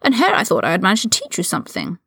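A woman voicing an older aristocratic male wizard prisoner, haughty and mocking, with measured, condescending, formal speech in an audiobook-style story reading. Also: mid-range voice, wildly swooping pitch, creaky, fairly bright tone, slightly rough, no room sound, studio quality, heavily acted. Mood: exasperated, insistent.

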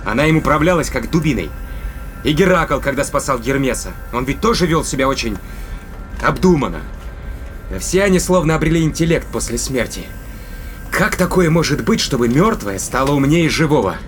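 An actor in an audio drama playing a determined spaceship captain who reasons emphatically and deliberately. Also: male voice, fairly high pitch, expressive, faint music behind, close to an everyday voice. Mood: tense, insistent.

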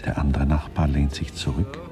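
An actor as a detached narrator, giving clipped, deadpan literary narration in a radio play. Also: male voice, a bass voice, moderate pace, crowd noise. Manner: deadpan, clipped, fragmentary phrasing. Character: detached male narrator minutely observing people.

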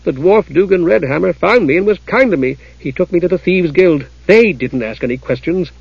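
Guy doing a young thief girl in a fantasy audiobook, hot-tempered, defensive, husky. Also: high voice, lively intonation, brisk, no echo, dull audio, voice pushed up, full character voice. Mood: defiant. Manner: defensive, earnest.

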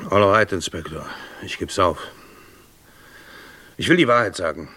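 An actor playing an evasive, defiant murder suspect in a German radio crime drama, stubborn and indignant in manner. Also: male voice, deep voice, lively intonation, a little slow, bright, forceful tone, breathy edge, exaggerated voice. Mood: resigned. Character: defiant, evasive male murder suspect, former military pilot.

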